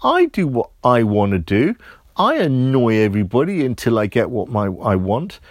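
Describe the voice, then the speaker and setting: A man's voice: big pitch swings, normal speed, no echo, clean studio sound. A man voicing a frightened, whiny little boy who begs his parents for help. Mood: realising. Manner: high-pitched, pleading, frightened.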